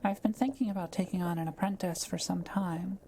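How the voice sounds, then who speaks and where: A woman playing a kind, curious forest witch in an intimate audio roleplay, speaking gently and thoughtfully with polite, measured phrasing. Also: fairly low pitch, steady tone, gravelly, a little hiss or hum. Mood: warm, thoughtful. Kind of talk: intimate scripted audio roleplay addressed to the listener.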